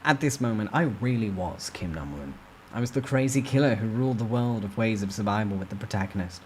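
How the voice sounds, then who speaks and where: A man's voice: fairly low pitch, animated, brisk, darkish tone, slight background noise. A man giving a dramatic first-person storytime reading of a novel. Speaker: narrator reading a novel aloud.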